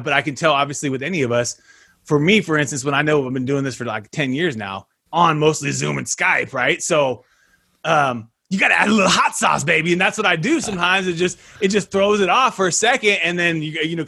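Lively, punchy podcast host, a man. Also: fairly high pitch. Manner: energetic, slangy, punches words with sudden loud bursts. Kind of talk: casual interview over video call.

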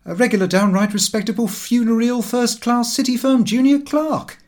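A man's voice: extremely high pitch, steady tone, normal speed, darkish tone, voice pushed up. A man voicing a cocky, ironic young clerk in an audiobook, with a sardonic, emphatic manner. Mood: ironic, showy.

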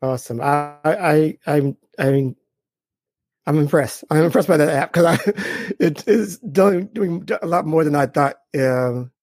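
A man's voice: fairly high pitch, unhurried, darkish tone, dry recording. A man hosting a podcast, upbeat and enthusiastic, chatting casually with his guest. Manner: upbeat, enthusiastic, repeats praise. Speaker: podcast host. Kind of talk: casual interview chat.

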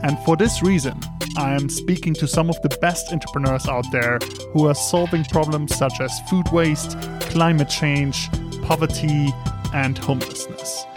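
A man's voice: on the high side, fairly even intonation, moderate pace, music in the background. A man hosting an interview podcast, reading a sponsor spot and an intro in an upbeat, earnest way with some filler words. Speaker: podcast host. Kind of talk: scripted ad read and interview intro.

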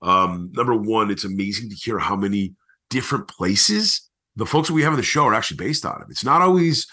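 A man's voice: fairly low pitch, lively intonation, brisk, sharp tone, husky. A man hosting a podcast in casual interview chat, talking in an effusive, informal way. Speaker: podcast host.

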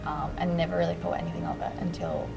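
A young woman answers interview questions in a relaxed, chatty way, full of fillers and little self-deprecating asides. Her voice sits low and has a gravelly edge. Music plays clearly behind her. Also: quick pace.

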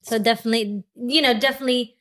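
A woman's voice: on the high side, fairly even intonation, rapid-fire, a bit bright. A young pregnant woman from Lithuania, a podcast guest chatting casually, laughing often and trailing off with fillers. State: heavily pregnant. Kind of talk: casual interview chat.

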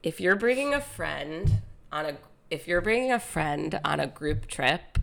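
A woman from New Jersey, chatting as a podcast guest, chatty and quick to laugh. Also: low-pitched, animated, creaky, fairly bright tone, soft background music. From New Jersey, USA. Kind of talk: casual chat.

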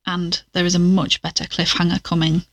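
A woman's voice: fairly low pitch, little pitch movement, brisk, music in the background, natural voice. A woman playing a breezy, teasing podcast companion in an audio drama.

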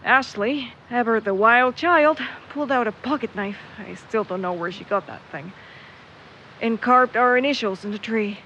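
A woman's voice: on the high side, moderate pace, fairly bright tone, natural voice. A young woman playing a stubborn, grumbling searcher in an audio drama, candid and wry as she thinks aloud. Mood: wistful, amused. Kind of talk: audio drama monologue into a recorder. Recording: muffled, noisy outdoor-style ambience.

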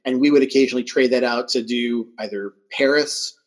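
A man's voice: mid-range voice, a little fast. A man hosting a podcast in a conversational style, with a welcoming and appreciative manner. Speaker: podcast host.

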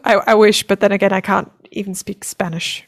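A woman's voice: medium pitch, level tone, a little fast. Woman chatting casually as podcast guest. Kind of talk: casual chat, travel anecdotes.

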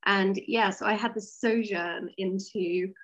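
A woman's voice: medium pitch, fairly even intonation, unhurried, a bit bright, dull audio, slight background noise. A woman talking casually as a writer being interviewed. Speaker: interview guest, science fiction writer.

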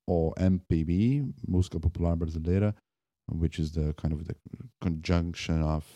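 Low, dark-voiced man musing. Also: no echo. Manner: halting, many restarts and fillers. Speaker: podcast host. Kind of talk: casual spoken music review.

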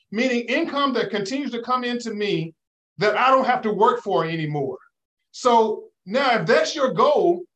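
A man's voice: high-pitched, fairly bright tone, low-quality recording. A man working as a financial advisor, talking listeners through money questions in a preacherly way, checking in with "okay?" and "right?". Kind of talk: call-in advice talk.

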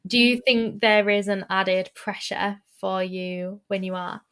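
A woman hosting an interview, musing aloud and filling pauses with um and sort of. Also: medium pitch, fairly even intonation, fairly bright tone, clear, resonant tone. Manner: thoughtful, fills pauses with um and sort of. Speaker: interviewer, host. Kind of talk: conversational interview.

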